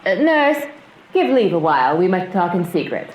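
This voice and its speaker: A woman's voice: on the low side, big pitch swings, normal speed. Woman as imperious noblewoman in echoing room. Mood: confidential. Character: commanding, formal noblewoman mother. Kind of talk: Shakespearean radio drama acting. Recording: echoey, roomy sound.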